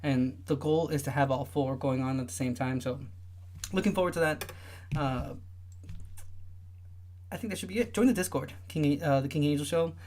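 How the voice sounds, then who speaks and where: A young man with a light, high voice that listeners often mistake for a woman's. He hosts a relaxed livestream, chatting loosely and filling gaps with lots of "uh"s and restarts. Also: moderate pace, pauses often. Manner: rambling, many filler sounds and restarts. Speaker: livestream host. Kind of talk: casual livestream chat.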